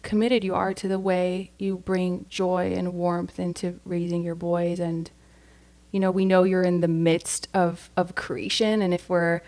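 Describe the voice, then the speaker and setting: A woman's voice: lowish voice, little pitch movement, a little slow, a little mellow. Effusive woman podcast co-host. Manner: effusive and encouraging, with fillers and restarts. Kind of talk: casual interview chat.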